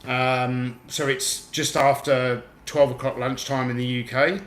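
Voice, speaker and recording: A man's voice: medium pitch, level tone, measured pace, fairly bright tone. A man from the UK hosting a casual livestream, chatting and reading out viewer comments. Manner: chatty, reads out viewer comments, laughs easily. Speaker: livestream host and toy auctioneer. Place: home room in Australia. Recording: echoey room.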